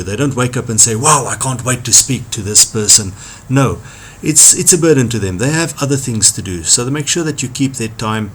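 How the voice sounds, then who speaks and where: Man giving an emphatic tutorial, noisy background. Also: mid-range voice. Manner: emphatic, repeats key phrases for stress. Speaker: trainer presenting a tutorial. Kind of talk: instructional talk on workplace skills.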